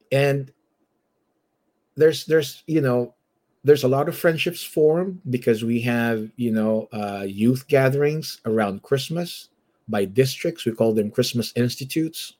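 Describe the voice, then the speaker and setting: A man from the Philippines with a Filipino accent and a husky voice, telling his story conversationally as an interview guest. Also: medium pitch, slow, darkish tone. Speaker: church elder and guest.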